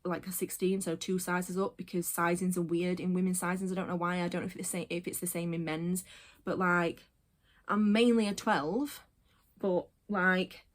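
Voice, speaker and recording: A woman in her late twenties talks casually to her camera. She rambles and goes off on tangents, filling pauses with ums and likes. Her voice sits a little lower than most women's. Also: flat delivery. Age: 20s. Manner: rambling, chatty, full of fillers and tangents. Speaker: vlogger. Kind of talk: casual personal vlog.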